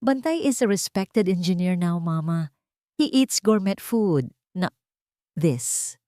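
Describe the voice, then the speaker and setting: A woman with a Filipino accent voicing a snobbish, two-faced daughter-in-law in a story, with shrill, emphatic disdain. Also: animated, slow pace, warm tone, dry recording, clean studio sound. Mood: scornful. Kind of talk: storybook character voice.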